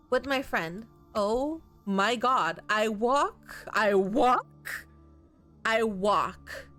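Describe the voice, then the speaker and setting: Animated high-pitched woman gaming out loud. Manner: expressive, sweary, reacting out loud. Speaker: video game streamer. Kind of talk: horror game playthrough commentary, reading game text aloud.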